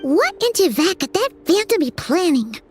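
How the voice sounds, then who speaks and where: A woman voicing a small, childlike, excitable and earnest companion in a video game, eager and expressive. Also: very high voice, moderate pace, over music. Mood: curious. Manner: eager, wide-eyed, expressive.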